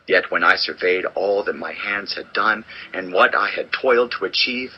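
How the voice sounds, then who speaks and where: A man's voice: low-pitched, husky, highs cut off. An actor playing a world-weary, wise old king in a solemn, theatrical dramatized scripture reading. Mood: reflective, grand. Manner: solemn, deliberate, theatrical delivery. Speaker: audio Bible narrator and actor.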